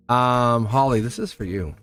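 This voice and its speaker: A man's voice: medium pitch, a bit bright. A young man doing a casual, upbeat live tarot reading, talking to viewers in a chatty way and laughing often. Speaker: tarot reader.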